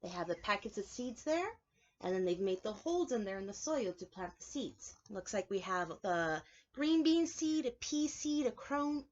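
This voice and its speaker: A woman preschool teacher reading aloud and explaining things to young children, clearly emphasizing key words in an instructive way. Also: mid-range voice, lo-fi sound. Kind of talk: children's read-aloud lesson with explanations and questions.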